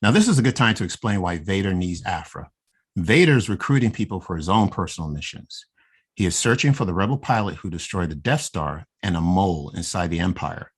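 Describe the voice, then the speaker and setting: A man co-hosting a casual podcast, reading a story recap in a steady, plain way with small asides. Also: sits low, slightly rough. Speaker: podcast co-host.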